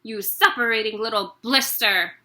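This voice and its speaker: A woman voicing a huge, bullying headmistress who barks and shouts insults at a pupil. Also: on the high side, normal speed. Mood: raging.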